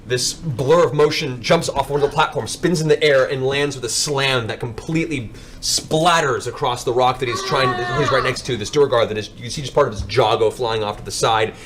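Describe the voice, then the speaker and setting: A man's voice: mid-range voice, a bit bright. A man working as game master, giving dramatic narration of a tabletop role-playing game.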